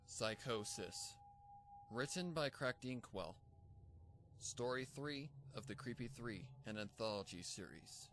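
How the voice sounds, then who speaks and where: A man's voice: mid-range voice, lively intonation, takes it slowly, fairly bright tone, soft background music. A man reading a scripted story as a dramatic narrator. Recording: faint noise and ambience behind.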